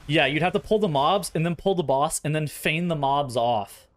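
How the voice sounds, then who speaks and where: Casual, higher-voiced man doing gameplay commentary, voice tiring. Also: expressive, normal speed. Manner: blunt, sweary, thinking aloud. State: voice tiring, sore throat. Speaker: streamer. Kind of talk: casual gameplay commentary, explaining dungeon strategy.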